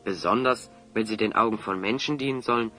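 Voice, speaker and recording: A man's voice: on the low side, brisk, sharp tone, soft background music. A man acting a clever, confident hero in an audio drama, articulate and assertive as he reasons things out. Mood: confident, accusing. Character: clever, confident male hero explaining a scheme.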